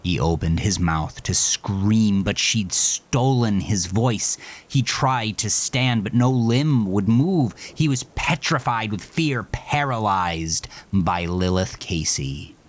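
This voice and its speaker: A man's voice: fairly low pitch, expressive, slow pace. A man narrating a horror story with brooding intensity.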